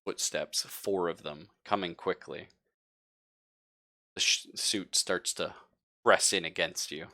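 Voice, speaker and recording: A man's voice: low voice, steady tone, takes it slowly, long pauses, sharp tone. A man narrating a tabletop roleplaying game as game master, casual and joking as he improvises.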